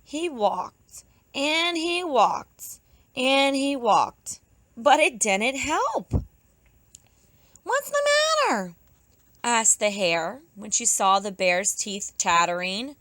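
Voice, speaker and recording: A woman teacher narrating a children's storybook aloud, expressively, with drawn-out emphasis for young listeners. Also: a little high, animated, a bit bright, no room sound. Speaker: pre-kindergarten teacher.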